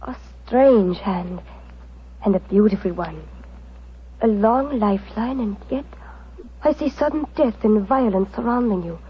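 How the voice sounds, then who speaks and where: A woman playing a mysterious, proud fortune-teller in 1940s radio drama style, with solemn, deliberate, dramatic phrasing, on an old recording. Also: medium pitch, muffled recording, slight background noise, close to an everyday voice. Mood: ominous. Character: mysterious Romani fortune-telling woman, musical, proud and wistful.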